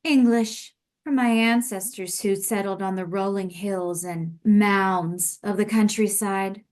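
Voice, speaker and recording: A woman playing a brisk, commanding nurse in an audio play, deadpan, clipped and formal. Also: medium pitch, natural voice. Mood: coolly suggestive.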